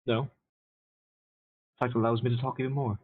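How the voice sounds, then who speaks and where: A man's voice: mid-range voice, little pitch movement, fairly quick, slightly rough. A man playing a tabletop roleplaying game online, bantering playfully and flirtatiously in character. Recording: tinny online call audio.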